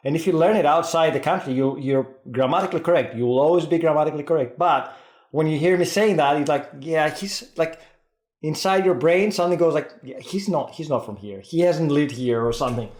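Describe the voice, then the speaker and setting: A man from Greece with a Greek and Scottish accent, talking fast and eagerly as a podcast guest, often restarting his sentences. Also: on the high side, a little mellow, roomy sound. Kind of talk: casual podcast conversation.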